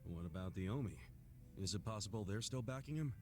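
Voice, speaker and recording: An actor playing an earnest, blunt, big-hearted tough-guy hero in a video game, with a gruff, hearty voice. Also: on the low side, animated, fairly quick, music in the background, close to an everyday voice. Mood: questioning, serious. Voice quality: gruff, gravelly. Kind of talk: video game cutscene acting.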